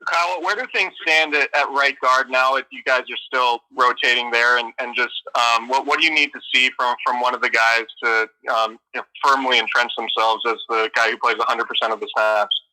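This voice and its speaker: A man's voice: mid-range voice, fairly even intonation, a little fast, bright, forceful tone, rough recording. A man asking questions as a sports reporter on a press call, hesitant and repeating words.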